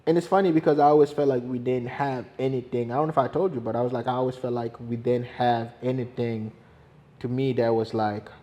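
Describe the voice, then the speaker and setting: A young man from hosting a podcast, talking in a relaxed, rambling way with lots of fillers and restarts. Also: mid-range voice, fairly quick, muffled recording. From Guinea. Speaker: podcast host and video creator. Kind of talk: casual conversation.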